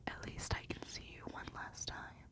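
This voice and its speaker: Woman reading as wounded storybook beast, male, weak, tender, breathy whisper. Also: moderate pace, close to an everyday voice.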